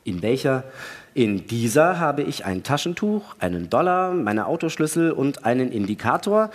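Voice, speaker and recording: Guy acting a distrustful spaceman, raspy mid-pitched voice, pointed sarcastic arguing, radio play in a reverby hall. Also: very animated, normal speed. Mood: defensive, scornful. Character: wary, sarcastic male astronaut arguing with his crewmate. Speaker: actor in a radio play reading. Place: large hall, live staged reading. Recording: reverberant stage hall sound.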